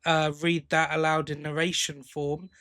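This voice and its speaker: Male podcast host, slightly higher-pitched voice, informal conversational delivery. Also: little pitch movement, moderate pace, sharp tone. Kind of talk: casual discussion.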